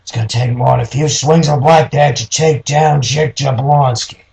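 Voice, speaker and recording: A man playing a cynical newspaper man in his forties, talking gruff, slangy tough talk in a noir radio play. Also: mid-range voice, steady tone, normal speed, darkish tone, close to an everyday voice. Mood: defiant.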